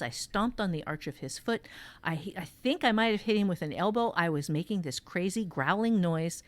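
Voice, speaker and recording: A woman hosting a podcast workshop, talking informally and candidly, telling personal stories emphatically and swearing freely. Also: lowish voice. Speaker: podcast host and workshop teacher. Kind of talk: casual workshop chat and personal storytelling.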